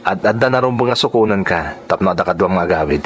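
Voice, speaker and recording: An actor playing a devoted young suitor in a radio drama, speaking with earnest tenderness. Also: male voice, deep voice, lively intonation, fast talker, fairly bright tone, slight background noise. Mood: earnest, caring.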